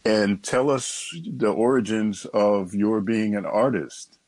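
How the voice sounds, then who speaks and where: A man hosting a morning radio show and reading announcements in a steady announcer's manner. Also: on the low side, slow, dry recording. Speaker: radio host. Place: radio studio.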